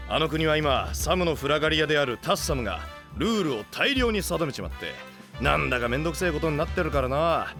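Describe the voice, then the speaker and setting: A brash, ambitious knight boss from an anime drama. His rough delinquent talk swings widely in pitch, a little high for a man, with a soft heart under the bluster. Music plays clearly behind him. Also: expressive, quick pace. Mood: wry preview. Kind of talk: Japanese anime voice drama acting. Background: background music.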